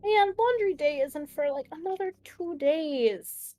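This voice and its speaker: A woman's voice: high-pitched, animated, a little slow, dark tone. A woman improvising casually in character as a tabletop game player, hesitant and searching for her words.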